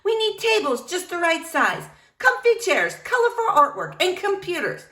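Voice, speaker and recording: A woman's voice: very high-pitched, huge pitch swings, moderate pace, bright, forceful tone, reverberant, pitched above the performer's own voice, exaggerated voice. A woman voicing an exuberant, determined woman librarian in a storybook, with emphatic, enthusiastic delivery. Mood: determined, eager. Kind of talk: storybook character dialogue.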